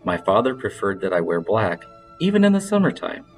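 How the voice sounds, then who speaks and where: A man with a fairly low voice narrates a novel aloud. His delivery is measured and expressive, in the manner of an audiobook storyteller. Faint music can be heard with the reading. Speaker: reader of literary fiction.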